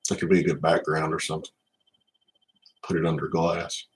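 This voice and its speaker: A man's voice: rumbling low, completely flat delivery. A middle-aged man with a Southern US accent, chatting casually and unhurriedly with viewers as host of a hobby livestream. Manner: unhurried, addresses viewers by name. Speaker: livestream host, card collector.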